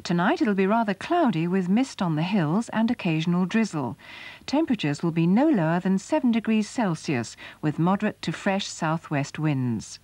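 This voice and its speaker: A woman's voice: on the low side, a little mellow, no room sound. A woman reading a scripted weather forecast as a television continuity announcer.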